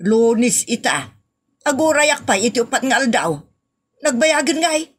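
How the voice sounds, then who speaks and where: A woman's voice: a little high, very animated, normal speed, slightly rough. An actress with a northern Philippine accent playing an impatient, nagging woman in a comedy audio drama.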